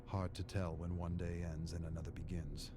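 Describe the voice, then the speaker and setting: An actor playing a weary, scholarly archivist of a dark otherworld, reading journal entries for a game in a measured, brooding way. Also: male voice, deep voice, little pitch movement, close to an everyday voice. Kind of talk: scripted dramatic narration, journal entries. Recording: music behind the voice. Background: music.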